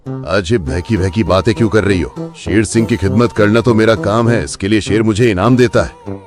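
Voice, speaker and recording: A man's voice: on the low side, moderate pace, natural voice. A man voicing a gullible, resentful wolf servant in a cartoon, earnest and dramatic. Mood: hesitant. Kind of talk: Hindi cartoon voice acting. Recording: background music behind the voice.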